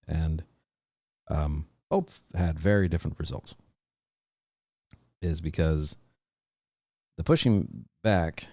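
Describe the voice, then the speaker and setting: A man's voice: rumbling low, creaky, dark tone, husky, no room sound. A man hosting his own podcast livestream, chatting casually and rambling with lots of fillers and restarts. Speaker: podcast host.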